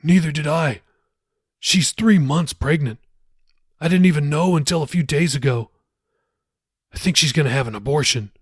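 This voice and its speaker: A man's voice: a little high, normal speed, long pauses, husky, no room sound, clean studio sound. A man voicing a sullen, troubled young man who talks in a curt, guarded, defensive way in an audiobook. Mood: confessing.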